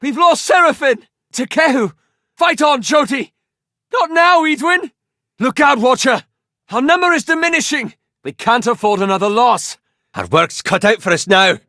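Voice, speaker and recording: An earnest, refined fantasy game companion with old-fashioned, formal phrasing. His voice moves through a very wide range of pitch, and it is captured dry and close, with a cautious temper that hides a fiery streak. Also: animated, normal speed, bright, forceful tone, no echo, full character voice. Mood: desperate, shouting. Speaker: party companion character in a role-playing game. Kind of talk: fantasy video game voice acting.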